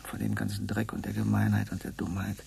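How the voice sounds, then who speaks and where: Gravelly, husky, hesitant space traveller. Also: male voice, fairly low pitch, fairly even intonation, fairly quick, a little mellow, full character voice. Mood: wistful, disillusioned. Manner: hesitant, stammering, repeats words. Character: flustered, world-weary male space traveller from Earth, bound captive. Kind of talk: German radio drama acting.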